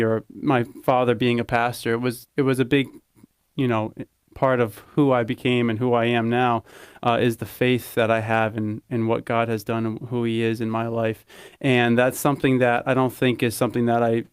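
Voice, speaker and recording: Young man, flat, smooth voice, dry sound. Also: mid-range voice, no echo. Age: young adult. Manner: thoughtful, earnest, searching for words. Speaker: podcast co-host. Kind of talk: casual podcast chat.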